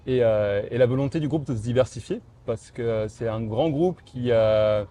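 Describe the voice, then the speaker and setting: A man's voice: medium pitch, moderate pace, dark tone. A man representing a motorcycle brand, answering interview questions in a casual, hesitant way, with many fillers and restarts.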